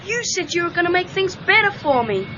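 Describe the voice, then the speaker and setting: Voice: extremely high pitch, sing-song, a little fast, noisy background. A performer playing a skeptical teenage boy who is learning karate, talking informally and with some sarcasm in an audio drama. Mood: sarcastic, worried. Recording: muffled lo-fi read-along cassette tape.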